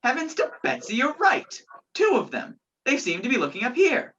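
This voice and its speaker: A young woman playing a sceptical, gallant gentleman in an amateur radio play, with a theatrical, incredulous manner. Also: fairly low pitch, very animated, unhurried, slight background noise, full character voice. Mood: startled. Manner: incredulous, theatrical, old-fashioned phrasing. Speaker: student actor in a school radio play. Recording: dull lo-fi home recording.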